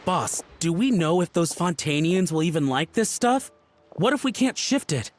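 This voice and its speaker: A man's voice: high-pitched, big pitch swings, moderate pace, faint music behind. An actor playing an anxious young male rookie smuggler fretting in a game. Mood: worried. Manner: anxious, unsure. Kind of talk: game voice acting.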